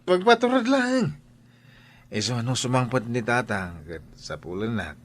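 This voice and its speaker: A man's voice: mid-range voice, very animated, measured pace. A bashful, lovestruck young man played by a male actor in a radio drama. He is hesitant and flustered, with a mid-range voice that swings up and down, over faint background noise. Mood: evasive.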